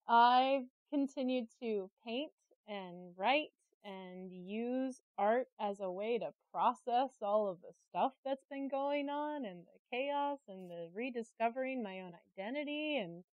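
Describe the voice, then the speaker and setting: A woman talking casually and candidly as an interviewed artist, chatty, expressive and a little self-deprecating. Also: fairly high pitch, measured pace, no room sound, narrow, tinny audio. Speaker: interviewed artist and creative director. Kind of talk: casual, candid podcast conversation.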